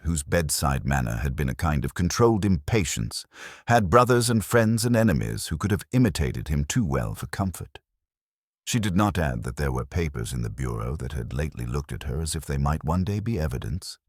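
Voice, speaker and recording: A male narrator with an extremely low voice reads a literary mystery. His delivery is measured and drily witty, yet the pitch moves in a lively way. The sound is dry, with hardly any room around it. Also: breathy edge, no room sound. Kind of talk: scripted audiobook-style mystery narration.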